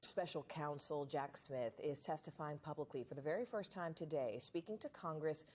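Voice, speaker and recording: A woman anchoring a television news segment with a measured, polished delivery. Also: sits low, a little mellow, slight background noise.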